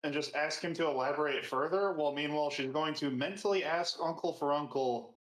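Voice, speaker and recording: Man, fairly high pitch, roomy echo, casual tabletop roleplaying player talk. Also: a little fast, fairly bright tone. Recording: roomy, echoing sound.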